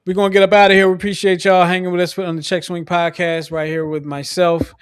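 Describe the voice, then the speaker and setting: A man's voice: high voice, level tone, fast, clear, resonant tone, no echo. A man hosting a casual sports podcast, laid-back and teasing, slightly losing his voice. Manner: laid-back, teasing, repeats sign-off phrases. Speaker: podcast host.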